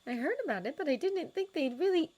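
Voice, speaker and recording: Woman voicing a nervous, soft-spoken young woman, higher than her narration, uncertain delivery. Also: expressive, fast talker. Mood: shy. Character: shy, nervous young woman, hospital worker.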